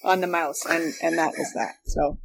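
A woman's voice: sits low, steady tone, moderate pace, gravelly, slightly rough. Middle-aged woman telling casual anecdotes. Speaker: guest, former radio worker.

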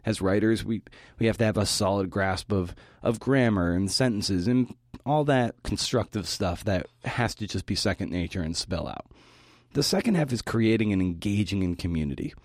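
Low-voiced man giving an informal talk. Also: moderate pace, no echo, studio quality. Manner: informal, mildly profane. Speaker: podcast host giving a talk. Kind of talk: prepared talk to fellow writers.

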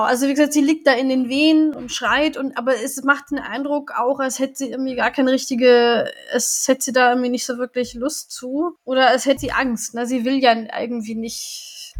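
Woman podcast cohost, kinda high voice, chatty, thinking out loud. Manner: chatty, thinks aloud with fillers. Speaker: podcast co-host. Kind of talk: casual chat reviewing an audio drama.

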